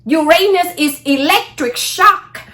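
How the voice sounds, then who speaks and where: Bright, high woman. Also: takes it slowly. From Vietnam. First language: Vietnamese. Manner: rambling, frequent "okay?" and "blah, blah" asides. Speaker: astrology vlogger. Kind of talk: casual astrology talk.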